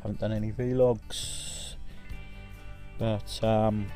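A man's voice: lowish voice, flat delivery, very slow, warm tone, soft background music. A man in his forties giving a casual, rambling voice-over for his driving footage, with many ums and restarts. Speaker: vlogger.

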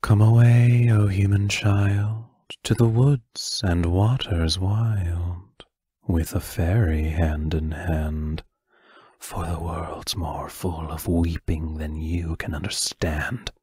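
A man playing a menacing forest fairy creature in an audio play, chanting poetic lines in a raspy whisper. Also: sits low, steady tone, takes it slowly, warm tone, no echo, clean studio sound, full character voice. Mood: eerie, luring. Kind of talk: slow poetic incantation.

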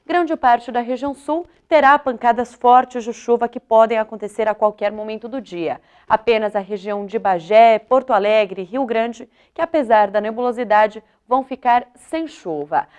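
Young woman, Brazilian accent, weather presenter, smooth resonant voice, fairly high. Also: normal speed, hardly any pauses, a little mellow, muffled recording. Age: young adult. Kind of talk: scripted weather forecast.

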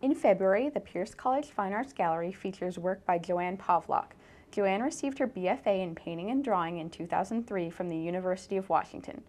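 A woman's voice: medium pitch, brisk, darkish tone, slight background noise. A woman presenter reading a scripted arts announcement.

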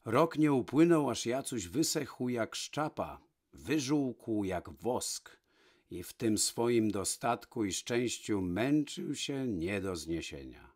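A man narrating a fairy tale from a script, with measured, dramatic pauses. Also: mid-range voice, lively intonation, darkish tone. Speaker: storyteller, narrator.

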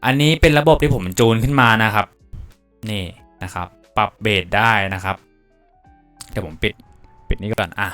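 Young man, tutorial presenter, clear smooth voice, informal and a bit crude, dry close sound over music. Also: lowish voice, animated, moderate pace, long pauses, a bit bright, no echo. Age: young adult. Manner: informal, polite phrasing mixed with crude slang. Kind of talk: casual software tutorial narration.

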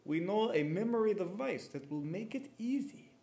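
A man playing a tender, melodramatic Soviet father in a comic radio play, halting and theatrical. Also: high-pitched, expressive, dark tone, reverberant. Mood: gentle, conspiratorial. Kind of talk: old-time radio comedy acting.

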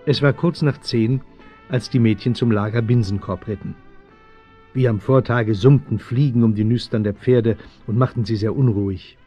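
Mellow, husky male radio play narrator. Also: mid-range voice, moderate pace, soft background music. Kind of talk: scripted storytelling narration for a German radio play.

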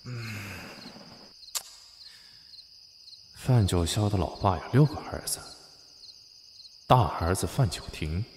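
A man's voice: low-pitched, a little mellow, a little hiss or hum. A man acting an earnest, theatrical prince regent in a Chinese costume audio drama. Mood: musing. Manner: theatrical, with sighs and exclamations. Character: male prince regent, time-traveller in a nobleman's body, earnest, dramatic. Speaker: amateur voice actor.

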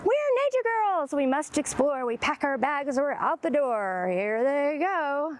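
A middle-aged woman hosts a picture book storytime for little children. Her voice sits high and swings widely in pitch, with animated exclamations and questions to her listeners. She is recorded outdoors in a garden, with clear ambient noise behind her. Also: a little slow. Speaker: children's librarian, storytime host.

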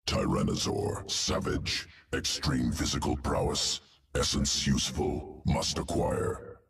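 A man voicing a cold, analytical alien creature in a video game, speaking in clipped, terse phrases with a very deep gravelly voice. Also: lively intonation, slow pace, a bit bright, recorded from a distance, exaggerated voice. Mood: clinical, curious. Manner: clipped, terse, article-dropping speech.

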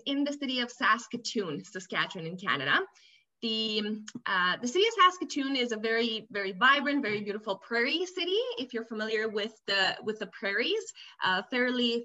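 A woman's voice: a little high, pushed and bright, dull audio. A young woman from Romania giving a webinar presentation as a student recruitment officer, friendly and repeating words as she searches for them. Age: young adult. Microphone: video call.

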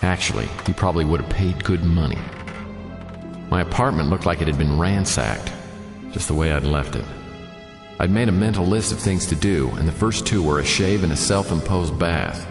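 Deep-voiced, deadpan noir detective. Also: male voice, moderate pace, roomy sound, big-room sound, over music. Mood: wry, brooding. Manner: deadpan, sardonic. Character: wry, world-weary male private detective narrating his case. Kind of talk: hardboiled radio drama narration.

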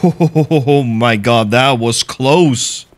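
A man's voice: medium pitch, extremely slow. A young man from the Netherlands with a Dutch accent, streaming a game live, reading chat and swearing freely as he plays. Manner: excitable, swears freely, reads chat aloud. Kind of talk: live gameplay commentary, chatting with viewers. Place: gaming room indoors.

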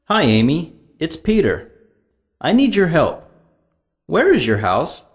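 A man's voice: a little high, wildly swooping pitch, slow, voice pushed up, full character voice. A man playing a friendly party guest phoning for directions in a textbook dialogue. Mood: friendly, inquiring.

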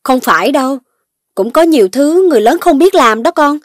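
A woman playing a gentle, patient, amused mother in a children's cartoon. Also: high voice, animated, a little fast, noisy background. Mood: gentle, teaching. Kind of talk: children's animated cartoon voice acting. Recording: music under the voice.

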